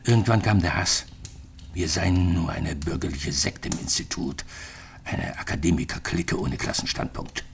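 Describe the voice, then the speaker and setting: A man's voice: sits low, normal speed, vocal fry, slight background noise. An actor in a radio drama playing a bitter, lonely retired East German physicist in his sixties, reflecting in clipped phrases. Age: 60s.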